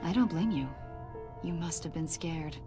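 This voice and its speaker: A woman acting as a probing government agent in a cinematic game scene. Her voice sits on the low side, and she needles with sardonic, insinuating questions. Music plays clearly behind her. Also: normal speed, close to an everyday voice. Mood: probing, cool. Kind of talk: cinematic video game dialogue.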